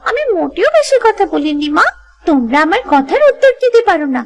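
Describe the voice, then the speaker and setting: A woman's voice: very high-pitched, sing-song, a little fast, faint music behind, exaggerated voice. A woman voicing a talkative, cheeky little village girl in a cartoon. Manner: talkative, answers back. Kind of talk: cartoon voice acting.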